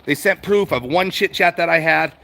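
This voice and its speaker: A middle-aged American man with a fairly high voice talks off the cuff as a livestream host. He rambles and circles back on his points in a conversational, unscripted way, as if answering a chat. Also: moderate pace, vocal fry, sharp tone. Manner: rambling, repetitive, conversational, swears casually. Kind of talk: casual unscripted chat, reading out and answering comments.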